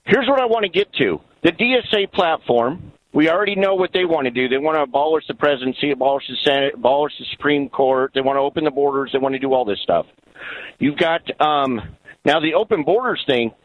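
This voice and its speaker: Male radio caller, fairly high voice, earnest, insistent, dull phone-line sound. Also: lively intonation, brisk. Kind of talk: political opinion on call-in radio. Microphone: telephone.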